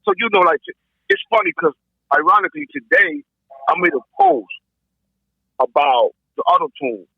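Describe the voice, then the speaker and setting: High raspy dude, voice swings all over, cussing casually on a lo-fi podcast call. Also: male voice, moderate pace, no room sound. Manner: animated, blunt and profane. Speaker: podcast panelist. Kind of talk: casual chat about hip-hop. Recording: dull lo-fi call audio.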